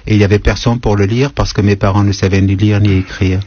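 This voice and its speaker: A man in his sixties from northeast Syria, a native Kurdish speaker, being interviewed on the radio and answering slowly with frequent hesitations. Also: lowish voice, level tone, warm tone. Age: 60s. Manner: thoughtful, hesitant with many pauses. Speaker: guest writer. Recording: dull radio broadcast, faint noise.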